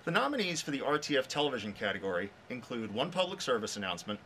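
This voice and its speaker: A man's voice: mid-range voice, sing-song, fast, a bit bright. Middle aged guy hosting awards from a control room, voice swings around, bit muffled by a mask, some room noise. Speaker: professor, festival director and presenter. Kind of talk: scripted awards show presentation.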